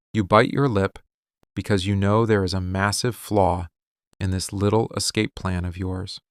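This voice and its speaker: A possessive, wounded mafia boss, played by a man in a dramatic ASMR monologue. His voice is on the low side and he takes his time, weighing each short phrase with controlled intensity. The sound is close and very dry. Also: dry recording. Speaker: ASMR voice actor.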